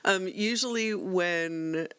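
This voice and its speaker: A woman's voice: fairly low pitch, slow, a loud crowd. A woman chatting casually as a game player, self-deprecating and acting out her own thoughts as she talks. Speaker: player on a tabletop game podcast. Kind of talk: casual chat.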